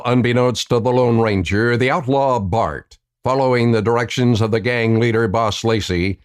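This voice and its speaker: A man narrating a scripted radio western. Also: mid-range voice.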